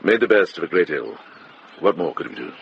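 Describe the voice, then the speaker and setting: An actor in a radio drama playing a wise, kindly older monk and healer, speaking in an unhurried, gently wry way. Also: male voice, sits low, very animated, gravelly, highs cut off, a little hiss or hum, heavily acted. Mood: reflective, wry.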